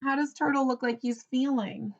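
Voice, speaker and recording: A woman's voice: high voice, moderate pace, lo-fi sound. A woman teacher reading a picture book aloud expressively, explaining simply and putting questions to young listeners.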